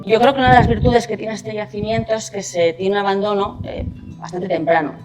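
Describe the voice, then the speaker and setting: A woman's voice: mid-range voice, steady tone, brisk. A woman speaking as an archaeologist in a documentary interview, thoughtful and hesitant with many fillers. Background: guitar music.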